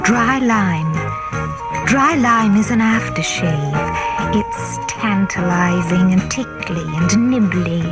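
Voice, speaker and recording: A woman's voice: mid-range voice, takes it slowly, lightly acted. A woman playing a playful, flirty, mock-disapproving character in a 1960s radio commercial. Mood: flirty, coy. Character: playful, flirty woman tickled by a men's fragrance. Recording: vintage radio ad over loud music.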